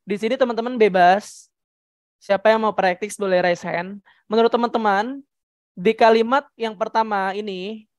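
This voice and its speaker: A woman's voice: mid-range voice, dry recording. A woman from Jakarta teaching public speaking in an interactive online lesson, instructive and emphatic, stressing key words. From Jakarta, Indonesia.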